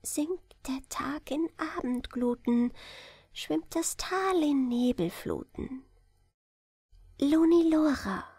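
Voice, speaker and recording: A woman voicing a chorus of tiny gray underground dwarf folk, chanting a soft, airy lullaby in a lilting singsong. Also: sits high, lively intonation, slow pace, no room sound, studio quality, voice pushed up, exaggerated voice. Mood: hushed lullaby.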